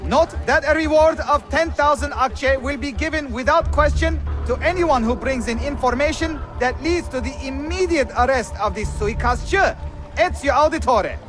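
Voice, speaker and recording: Town crier, very high voice, officious, formal, proclaiming, game drama, music behind. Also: male voice, moderate pace. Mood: official, urgent. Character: loud, officious town herald.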